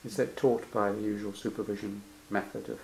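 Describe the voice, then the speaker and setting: A man's voice: lowish voice, fairly even intonation, a little fast, vocal fry, darkish tone, roomy sound, a little hiss or hum, poor audio. A man interviewing someone about their career, murmuring frequent hmms and asking probing follow-up questions. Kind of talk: oral history interview.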